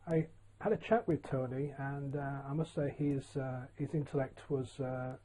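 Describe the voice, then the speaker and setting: An older man from Yorkshire telling his own career story in a relaxed, plain-spoken way, with frequent ums and uhs. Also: medium pitch, moderate pace. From Yorkshire, England. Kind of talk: casual autobiographical monologue.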